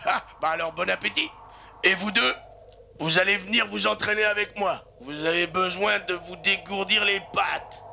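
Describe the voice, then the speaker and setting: Gruff, gravelly cartoon sled driver. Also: male voice, high-pitched, normal speed, bright, forceful tone, heavily acted. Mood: hearty, commanding. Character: gruff, hearty male dog-sled driver. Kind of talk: French cartoon dub. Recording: clear background noise.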